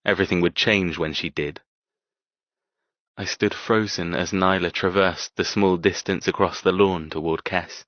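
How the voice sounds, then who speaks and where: Audiobook lead: man playing a brooding, tormented cold man telling his story, deep, terse, closely dry recorded. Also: no echo, dull audio. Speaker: audiobook narrator. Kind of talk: first-person scripted fiction narration.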